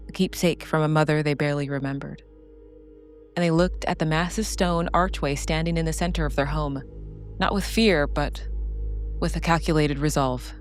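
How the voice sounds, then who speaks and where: A woman giving scripted, dramatic narration as a storyteller, solemn and measured in short phrases. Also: low voice. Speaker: narrator and solo game player.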